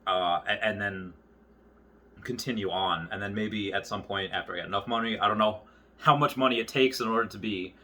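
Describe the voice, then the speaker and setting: A man in his early thirties talking casually and off the cuff to viewers, rambling and often halting to circle back on his thoughts. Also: fairly low pitch, fairly even intonation, sharp tone. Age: early 30s. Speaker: YouTuber. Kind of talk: casual unscripted monologue to viewers.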